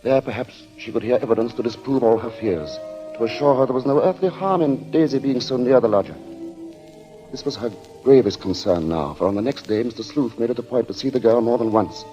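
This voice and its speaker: An actor playing a grave storyteller, narrating a suspense tale in formal, measured old-time radio style. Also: male voice, medium pitch, lively intonation, warm tone, lightly acted. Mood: grave, ominous. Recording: muffled old radio recording, music behind.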